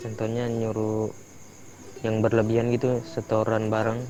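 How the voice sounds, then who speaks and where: A man's voice: fairly low pitch, completely flat delivery, normal speed, dark tone, close to an everyday voice. A man playing a deferential crime family underling in an improvised game roleplay, talking in a questioning way. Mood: deferential, inquiring. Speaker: family member in online roleplay. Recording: music playing behind the voice.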